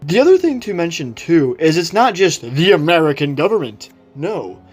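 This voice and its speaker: A man with a slightly high voice hosts a casual explainer. He speaks conversationally and shares his opinions freely. Light music plays underneath his commentary. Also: very animated, normal speed, slightly rough. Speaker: video host. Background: background music.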